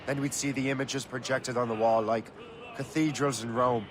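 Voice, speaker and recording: An actor playing an earnest, questioning young assassin in a video game. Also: male voice, mid-range voice, steady tone, moderate pace, close to an everyday voice. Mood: curious. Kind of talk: dramatic video game acting.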